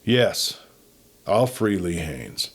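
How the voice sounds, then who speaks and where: A man's voice: low-pitched, sing-song, drawn-out words, slightly rough, full character voice. A man voicing a young, half-wild cowboy wanderer in a western story, brooding and terse. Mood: grim, resigned. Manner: terse, heavy.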